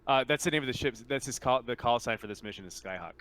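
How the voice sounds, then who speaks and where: A man's voice: medium pitch, expressive, fast, a bit bright, clean tone. Easygoing, hesitant man running a game. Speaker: game master. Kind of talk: casual tabletop game talk.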